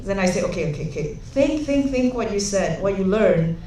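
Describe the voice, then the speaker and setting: A woman's voice: mid-range voice, expressive, fairly quick, dark tone, a little hiss or hum. A young woman from Singapore gives a humorous conference talk, telling chatty anecdotes with many fillers. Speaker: conference speaker. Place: large conference hall. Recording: echoey hall, speaker far from mic.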